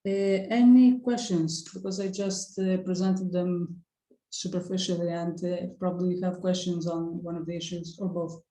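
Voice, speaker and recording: A woman on a video call handing out tasks to a team, halting and encouraging, with frequent "uh"s. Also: lowish voice, fairly even intonation, mellow, reverberant, poor audio. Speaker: facilitator assigning issues. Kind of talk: online meeting.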